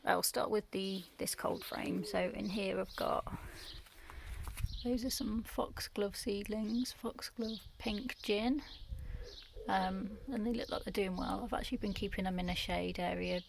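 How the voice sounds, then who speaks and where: A woman from the UK, croaky from just waking up, giving a quiet, half-whispered tour of her back garden in the early morning. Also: mid-range voice, steady tone, measured pace. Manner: hushed, half-whispered talk. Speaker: gardening vlogger. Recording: noisy outdoor recording with birdsong.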